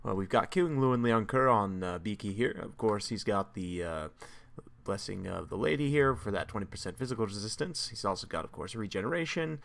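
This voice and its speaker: A man with a slightly hoarse, strained voice casually commentating a strategy game battle, talking steadily with frequent "uh" and restarts. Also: lowish voice, lively intonation, fairly quick, fairly bright tone. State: slightly hoarse, losing his voice.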